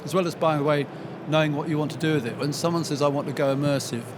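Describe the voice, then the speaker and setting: Male panelist explaining, with clear background noise. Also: on the high side, steady tone, fast talker. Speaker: expert panelist. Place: live trade show seminar.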